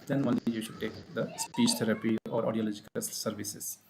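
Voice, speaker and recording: Indian male therapist, live stream host, steady and measured, faint hiss behind. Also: on the low side, gravelly. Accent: Indian English. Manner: measured, repeats points, frequent uh fillers. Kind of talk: live-stream talk and advice. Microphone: online live stream.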